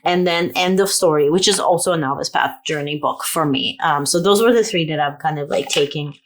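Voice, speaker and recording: A woman's voice: low-pitched, brisk, a little hiss or hum. A woman vlogging about her reading in a casual, rambling way, full of fillers and restarts, and a little sniffly from a cold. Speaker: book vlogger. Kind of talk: casual video chat.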